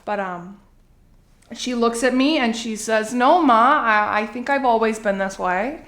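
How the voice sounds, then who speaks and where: A woman acts out a middle-aged Long Island housewife in a comic theatrical monologue. Her voice is high, and she confides in a nervous, candid, rambling way with hesitant asides. The room around her is echoey. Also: a little slow, fairly bright tone. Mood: chatty, wry.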